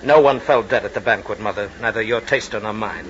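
Theatrical, murderous Roman emperor, acted by a man, forceful. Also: lowish voice, a little fast, natural voice. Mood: silkily affectionate. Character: Nero, cruel fawning emperor son, murderous. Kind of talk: old-time radio drama acting. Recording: dull, hissy old radio recording.

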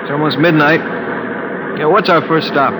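An actor playing a folksy, grumbling armored truck guard in an old-time radio drama. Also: male voice, a little high, steady tone, moderate pace, close to an everyday voice. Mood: casual. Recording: loud engine noise, vintage radio.

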